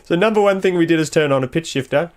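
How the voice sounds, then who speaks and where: A man's voice: on the high side, fast talker. A man giving a casual walkthrough as a sound designer, thinking aloud as he demonstrates.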